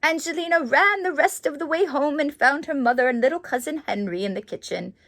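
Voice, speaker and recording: A woman in character as a children's storyteller, reading in a theatrical, gushing manner with exclamations and dramatic pauses. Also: sits high, fairly bright tone. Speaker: children's storybook reader in character as Mrs. Claus. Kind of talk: animated storytelling for young children.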